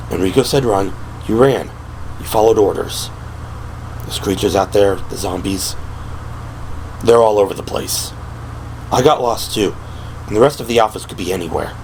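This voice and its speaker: A man playing a steady, reassuring male special-police officer in an audio drama, serious but gentle and soothing. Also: on the low side, a little hiss or hum, lightly acted, rough recording. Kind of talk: audio drama character acting. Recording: music behind the voice.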